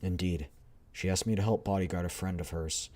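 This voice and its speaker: Dude reading a calm formal girl squad leader in a game, real low and even. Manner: measured, formal.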